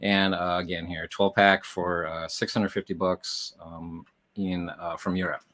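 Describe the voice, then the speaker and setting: A man with a fairly low voice hosts a livestream for resellers. He explains things casually and conversationally, addressing the viewers directly as he goes. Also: level tone, measured pace, a bit bright.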